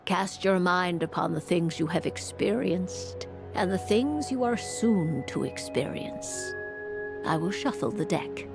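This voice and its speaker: An actress playing a refined woman mage and fortune-teller in a video game, speaking formally and courteously with measured eloquence. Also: lowish voice, expressive, gravelly. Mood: courteous, theatrical. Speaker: fortune-telling mage character. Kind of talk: video game character acting. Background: music.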